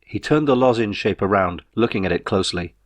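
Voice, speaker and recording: A man reading his own novel as a scripted audiobook, in a measured storytelling manner. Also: lowish voice, animated.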